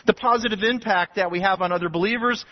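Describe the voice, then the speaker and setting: A man pastor from Texas preaching an expository sermon to his congregation, animated and building to emphatic passages. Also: high-pitched, fast. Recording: dull lo-fi sound.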